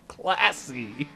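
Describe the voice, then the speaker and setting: Lively, higher-pitched man joking over music. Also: creaky, husky. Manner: jokey, irreverent. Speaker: let's play host. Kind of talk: casual joking commentary on a visual novel. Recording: music behind the voice. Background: game music.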